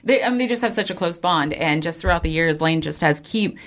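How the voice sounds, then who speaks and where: A woman's voice: on the low side, animated, brisk. A woman in her thirties chatting casually as a guest, rambling, with many restarts and quoted asides. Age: 30s. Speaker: podcast guest. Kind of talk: casual chat.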